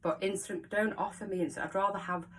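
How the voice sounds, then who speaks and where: A woman's voice: mid-range voice, steady tone, fast, fairly bright tone, recorded from a distance, a little hiss or hum. A woman in her forties from the Wirral with a mild Scouse accent, chatting frankly to camera in a jokey way and laughing often. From the Wirral, England. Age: 40s. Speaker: local vlogger. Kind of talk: casual chatty monologue to camera.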